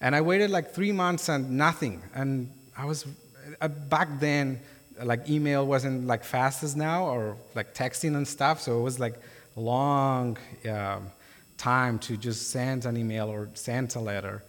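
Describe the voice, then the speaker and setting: A man's voice: medium pitch, unhurried. A young adult man from Colombia with a Colombian Spanish accent, a native Spanish speaker, giving his testimony as a guest preacher in a church, speaking informally with many fillers and restarts. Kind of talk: sermon testimony. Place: church sanctuary. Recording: long hall reverb.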